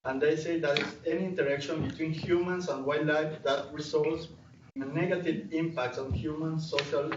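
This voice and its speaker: A man's voice: fairly high pitch, steady tone, normal speed, background noise. Young ecuadorian guy presenting research, spanish accent, lots of uh's, far off mic, roomy lecture hall. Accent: Latin American Spanish. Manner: hesitant, frequent uh and restarts. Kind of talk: conference presentation. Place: lecture room. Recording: distant mic, roomy hall, dull sound.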